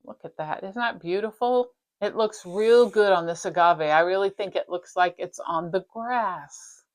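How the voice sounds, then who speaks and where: A woman hosting a cross-stitch video from her craft room, chatting casually and rambling a little, her voice slightly raspy from a dry throat. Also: medium pitch, a little slow. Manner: chatty, rambling, self-interrupting. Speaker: flosstube host. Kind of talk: casual show-and-tell chat.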